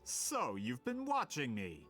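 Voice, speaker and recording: A vain merchant villain in a video game. His voice is high and sharp, swinging widely with pompous, theatrical scorn. Music plays faintly behind him. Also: wildly swooping pitch, slow pace, heavily acted. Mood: scornful. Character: scheming, haughty male merchant, vain would-be tycoon.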